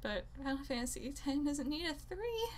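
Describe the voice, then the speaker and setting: A woman's voice: sits high, sing-song, normal speed. A woman gaming creator ranting casually and off the cuff, exasperated and rambling, often interrupting herself. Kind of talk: unscripted opinionated rant to viewers.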